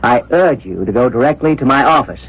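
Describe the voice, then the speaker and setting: Male police inspector, mid-range husky voice, solemn, measured, official, dull vintage radio-drama sound. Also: medium pitch, lively intonation. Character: grave, considerate police inspector breaking bad news. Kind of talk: 1970s radio drama acting. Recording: muffled vintage radio broadcast.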